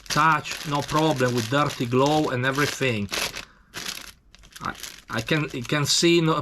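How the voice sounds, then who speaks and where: A man from Italy with an Italian accent, speaking halting, broken English as he gives a casual hands-on demonstration. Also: mid-range voice, a bit bright, a little hiss or hum. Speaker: tattoo machine demonstrator.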